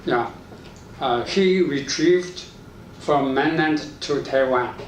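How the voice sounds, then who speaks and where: An older man from China with a Chinese accent answers interview questions in halting, fragmented, simple English. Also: on the high side. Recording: roomy, distant mic, faint noise.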